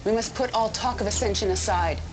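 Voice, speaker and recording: A woman's voice: mid-range voice, fairly quick, noisy background, lightly acted. An actress playing a stern female military commander who speaks in a firm, commanding way. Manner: firm, commanding speech-giving. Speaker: commander and new leader.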